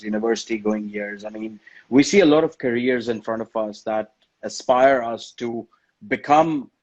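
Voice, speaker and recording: Chatty male interview host, lo-fi call. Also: medium pitch. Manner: lively, friendly questioning with repeated words. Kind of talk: live online interview. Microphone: video call.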